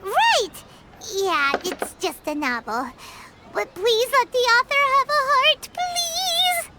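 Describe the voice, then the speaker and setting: A woman voicing a tiny, excitable female fairy companion in a video game, talking bluntly in a high, squeaky voice. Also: slow, very noisy, exaggerated voice. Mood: chatty. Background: game music.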